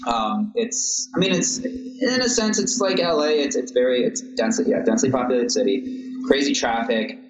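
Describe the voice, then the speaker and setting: A man's voice: medium pitch, expressive, moderate pace. Laid-back young man from Singapore. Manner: easygoing, laughs often, rambling with restarts. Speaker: guest composer. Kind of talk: casual podcast interview. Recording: echoey room, dull lo-fi sound, audible noise.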